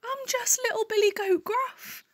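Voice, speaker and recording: Woman as a squeaky, timid little billy goat. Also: measured pace. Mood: timid, pleading. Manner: squeaky, quaking. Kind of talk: children's storybook character voice.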